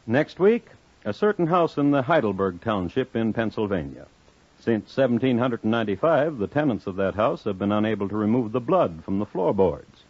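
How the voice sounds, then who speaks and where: An actor playing a dry, solemn crime historian who narrates a 1950s radio drama in a measured, deadpan way. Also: male voice, fairly low pitch, no echo. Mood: wry, measured. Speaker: host narrator. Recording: muffled 1950s radio recording.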